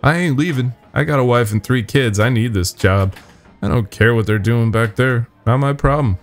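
A man's voice: medium pitch, moderate pace, soft background music, pitched above the performer's own voice, lightly acted. A man voicing a stubborn working-class factory worker and family man, blunt and dismissive. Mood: firm, dismissive.

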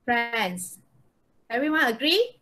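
A woman's voice: on the high side, sing-song, slow, bright, forceful tone. A woman teaching an English lesson on a video call, checking understanding with short questions. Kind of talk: interactive online lesson.

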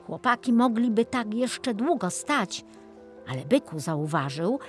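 A woman narrating a children's audiobook in an expressive storytelling style. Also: medium pitch.

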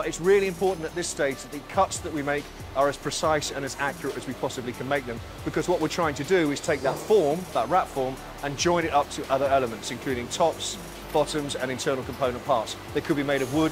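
A man in his forties leading a tour of a large factory floor, explaining each production step in a calm, clear way. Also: medium pitch. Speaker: factory guide. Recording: music bed under voice.

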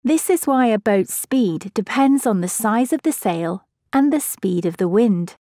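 Woman, scripted explainer narration, mellow tone, dry close recording. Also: mid-range voice, expressive, unhurried, dry recording.

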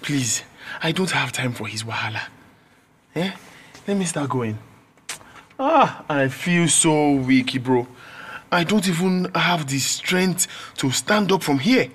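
A man's voice: fairly high pitch, animated, slow pace, a bit bright, slightly rough, heavily acted. An actor with a Nigerian accent playing a weak, timid young male student, hesitant and plaintive, in a radio drama. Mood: groggy, worried.

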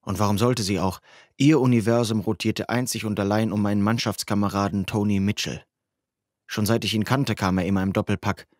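Audiobook narrator: man with a fairly low voice, very dry studio sound, first-person youth fiction. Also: steady tone, brisk, dry recording.

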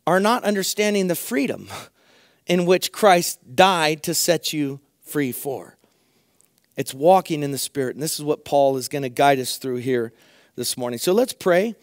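Man, middle-aged pastor, hoarse voice, earnest sermon, dry close sound. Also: on the high side, no echo. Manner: earnest, repeats phrases for emphasis. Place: church sanctuary at a pulpit, indoors.

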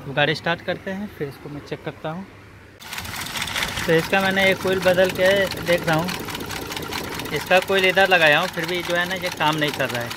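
A man's voice: sits high, moderate pace, pauses often. A man working as an auto electrician explains a repair step by step in an informal, hands-on tutorial style, often adding short check-in tags. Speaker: auto electrician and video host. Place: in and beside a car, at the engine bay. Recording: noisy handheld workshop recording with engine noise.

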